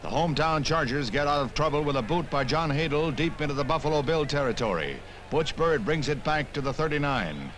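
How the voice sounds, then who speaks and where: A man's voice: fairly high pitch, expressive, fairly quick, few pauses. An American man reading scripted 1960s newsreel narration in a punchy, wry manner, on an old film soundtrack. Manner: punchy, wry, punning delivery. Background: music.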